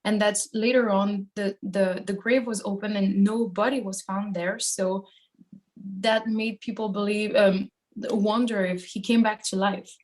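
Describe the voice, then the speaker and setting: Swiss woman singer chatting in an interview, mid pitched voice, kinda hesitant, picks her words as she goes. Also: steady tone, a little slow, darkish tone, low-quality recording. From Switzerland. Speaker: singer in a metal band, interviewee. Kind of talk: casual interview answers.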